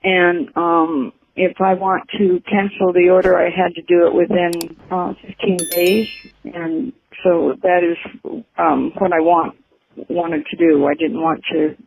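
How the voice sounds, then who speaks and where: A woman customer speaks haltingly on a phone call, with little variation in pitch. The line is thin and tinny. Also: gravelly, breathy edge, dry recording. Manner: halting, hesitant. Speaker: customer caller. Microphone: telephone.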